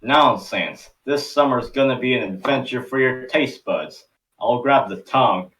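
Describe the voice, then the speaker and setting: A man's voice: mid-range voice, unhurried, a bit bright, reverberant, far from the mic, a little hiss or hum, pitched above the performer's own voice, lightly acted, low-quality recording. A man playing a hearty, jovial grandpa. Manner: jovial, encouraging. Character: cheerful, hearty grandfather on a summer trip.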